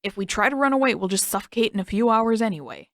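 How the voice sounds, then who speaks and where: A woman's voice: mid-range voice, lively intonation, fast, no room sound, clean studio sound, close to an everyday voice. A woman voicing a sarcastic, dramatic teenage boy who complains a lot in a fan fiction audiobook reading. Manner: sarcastic, whiny.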